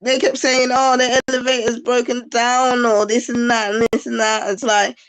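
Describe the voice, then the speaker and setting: A woman guest with a slightly croaky voice, chatting casually over a video call and laughing easily. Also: a little high, little pitch movement, moderate pace, poor audio. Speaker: interview guest.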